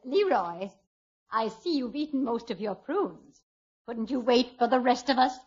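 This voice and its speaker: A woman's voice: fairly high pitch, animated, a little slow, darkish tone. Haughty, bossy mother-in-law. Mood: reproachful. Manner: prim, condescending, instructing. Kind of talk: 1940s radio comedy acting. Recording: muffled old radio recording.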